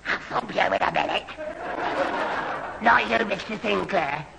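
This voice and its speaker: A man's voice: high voice, measured pace, creaky, full character voice. A man playing a dim, muddled but good-natured young lad who stammers and repeats himself in a 1970s radio sitcom. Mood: muddled, gormless. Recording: old hissy radio broadcast, roomy hall.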